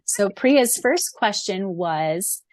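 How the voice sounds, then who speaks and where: A woman's voice: medium pitch, expressive, extremely slow, darkish tone, no room sound. A woman co-hosting a fan podcast who also narrates its audiobook, gushing and giggly as she chats and reads. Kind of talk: casual fan podcast chat.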